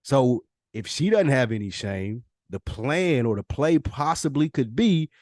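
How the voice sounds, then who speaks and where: Male podcast co-host, medium-pitched, dry close sound, opinionated and lively. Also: a little slow, no room sound. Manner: animated, opinionated, slips into quoted voices. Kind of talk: casual chat.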